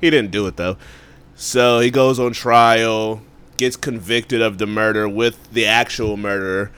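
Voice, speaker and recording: A man's voice: lowish voice, fairly even intonation, a little slow, fairly bright tone, smooth. A man co-hosting a movie podcast, talking in a loose, slangy, rambling way. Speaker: podcast co-host. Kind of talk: casual movie chat.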